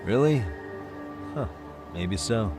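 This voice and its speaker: An actor playing a cool-headed, wry private detective in a game dub, measured and dry. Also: male voice, on the low side, animated. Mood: questioning. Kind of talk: dubbed video game cutscene acting. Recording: music behind the voice.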